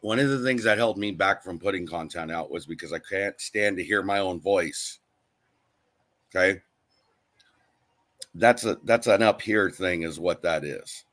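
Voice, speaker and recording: A man's voice: sits low, a little slow, pauses often, a bit bright. A man co-hosting a casual live-stream chat, reading viewer comments aloud and answering them. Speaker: co-host of a live channel chat.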